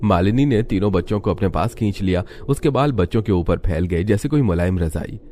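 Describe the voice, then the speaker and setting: A man's voice: deep voice, expressive, brisk, dark tone, slight background noise. A man reading scripted story narration as the narrator.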